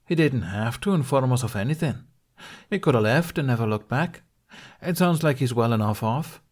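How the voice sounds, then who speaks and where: A man's voice: mid-range voice, lively intonation, moderate pace, darkish tone, voice pushed up, close to an everyday voice. A man voicing an earnest middle-aged Viking in an audiobook, loyally pleading with insistent, emphatic delivery.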